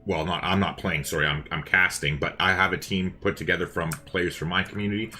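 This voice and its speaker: Male stream host, deep but crisp-edged voice, casual and chatty live delivery. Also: steady tone, brisk, sharp tone, soft background music. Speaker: host and co-caster of a gaming livestream.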